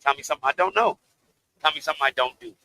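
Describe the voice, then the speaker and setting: A man with a deep voice, a rapper guest talking loosely in a casual interview, laughing and swearing freely. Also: very fast, vocal fry, sharp tone, no room sound, low-quality recording.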